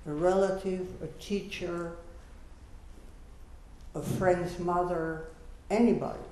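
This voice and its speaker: An elderly woman in her eighties speaks in an earnest, measured way, with a mellow, somewhat dark tone and a fairly high pitch for her voice. She sounds echoey, as if heard across a large lecture hall, with faint room noise behind her. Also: fairly even intonation. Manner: earnest, measured, gently exhorting. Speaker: Holocaust survivor panelist. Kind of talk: personal testimony at a lecture.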